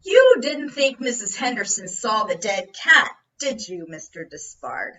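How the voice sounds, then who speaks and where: Lady doing a folksy cop captain in an old radio mystery, needling, swoops up and down, sharp voice. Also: medium pitch, sing-song, measured pace, highs cut off, full character voice. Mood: sly, mock-casual. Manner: mock-casual, probing, insinuating questioning. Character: brash, folksy, slyly needling police captain. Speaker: actor in an amateur radio play. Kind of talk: old-time radio detective acting.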